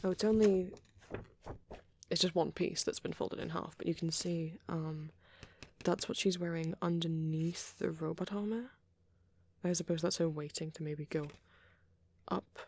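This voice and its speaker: A woman voicing a shy vampire boy who rambles gently in an ASMR roleplay, soft-spoken and hesitant, slipping into breathy whispers. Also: lowish voice, fairly even intonation, a little slow. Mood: curious, gentle.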